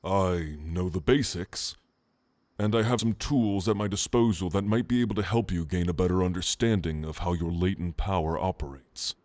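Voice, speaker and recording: A man voicing a calm, scholarly orc shaman and gentle mentor, speaking in a soothing, measured, formal way in an ASMR roleplay. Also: deep voice, dry recording, natural voice. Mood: earnest, helpful.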